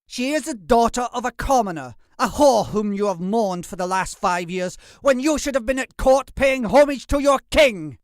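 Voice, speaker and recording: A man's voice: lively intonation, moderate pace, few pauses, dry recording, clean studio sound. A man voicing an arrogant, spiteful nobleman who sneers in a raised voice. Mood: contemptuous. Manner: sneering, shrill.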